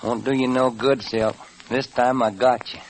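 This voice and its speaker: An actor playing a stubborn young rancher gambling in an old radio Western. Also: male voice, mid-range voice, little pitch movement, normal speed, lightly acted, poor audio. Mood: confident. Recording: hissy old radio recording.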